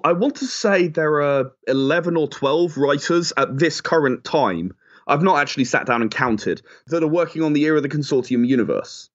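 A man giving chatty, enthusiastic, emphatic answers as a guest in a conversational interview. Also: a little high. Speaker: interview guest, game creator. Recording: dull, lo-fi audio.

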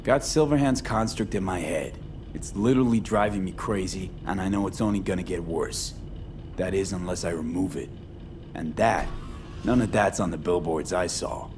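An actor playing a wary, streetwise young mercenary in a video game, with a blunt, terse, skeptical delivery. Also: male voice, sits low, moderate pace, music in the background, close to an everyday voice. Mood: impatient, demanding.

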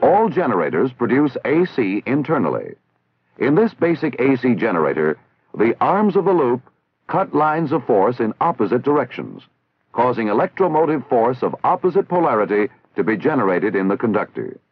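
A man with an American accent narrating a 1960s military training film in a formal, measured, instructional way, on an old film soundtrack. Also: medium pitch, very animated, a little hiss or hum. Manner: formal, measured, didactic enunciation. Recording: tinny, band-limited 1960s film soundtrack.

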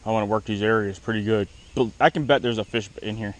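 A man's voice: on the low side, steady tone, quick pace. A man in his thirties chatting casually and in slang for a fishing vlog, outdoors in a small boat. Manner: laid-back, slangy. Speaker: fishing vlogger. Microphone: action camera worn on the body. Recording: clearly noisy outdoor action-camera audio.

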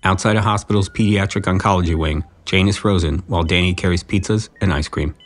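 A man's voice: sits low, fast talker. A man narrating scenes of an audio drama in scripted present tense.

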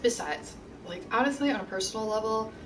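A woman's voice: a little high, lively intonation, fairly quick, recorded from a distance, a crowd in the background. A woman teaching a college class on a stream, chatting casually and answering chat questions from her couch at home. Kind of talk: casual lecture over gameplay. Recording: game music and sound behind her.

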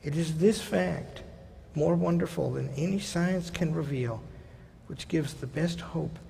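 Gravelly older man lecturing at a podium. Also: fairly high pitch, dark tone, slight background noise. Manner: conversational, wry asides, slows down for quoted passages. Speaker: college president giving a lecture. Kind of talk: seminar lecture. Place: large lecture hall stage at a podium. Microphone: podium microphone. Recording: hall reverb.

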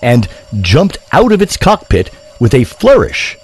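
A man's voice: medium pitch, wildly swooping pitch, a little slow, slight background noise. A man narrating a scripted audio drama as a theatrical, wordy storyteller.

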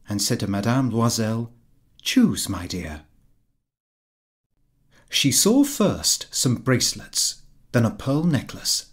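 A man narrating a literary short story in a measured, dramatic manner. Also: medium pitch, slightly rough. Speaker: audiobook narrator. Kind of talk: expressive scripted story narration.

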